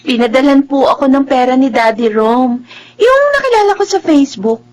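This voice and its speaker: Radio drama role: trusting young Filipina student, stubborn and hopeful, high girlish voice. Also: lively intonation, moderate pace, a little mellow. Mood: reassuring, pleading.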